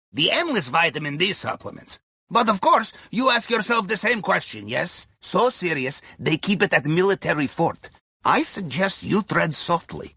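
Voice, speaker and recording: A man's voice: sits high, bright, forceful tone, slightly rough, no room sound, clean studio sound, full character voice. An actor playing a wry, upbeat Russian submarine helmsman in a video game, with a Russian accent and chummy, clipped broken English. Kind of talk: scripted game dialogue, mission briefing.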